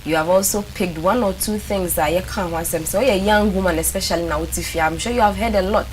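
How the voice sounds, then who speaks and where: A woman's voice: medium pitch, fairly quick. A Ghanaian woman hosting a studio talk show in a chatty style, with a Ghanaian accent. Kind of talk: studio talk show chat, mixing English with a local language. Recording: clearly noisy studio recording.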